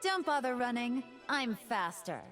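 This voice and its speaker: Video game fox woman, centuries old,: higher register, very expressive pitch, teasing yet tender. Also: unhurried. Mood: playful, teasing. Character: ancient nine-tailed fox woman, playful yet wistful. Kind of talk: scripted video game voice lines. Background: music.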